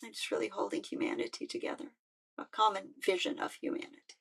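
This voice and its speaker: An older woman, a musician speaking in a halting, heartfelt way with frequent restarts. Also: mid-range voice, sing-song, a bit bright, husky. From United States. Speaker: musician and peace activist. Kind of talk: personal reflective talk.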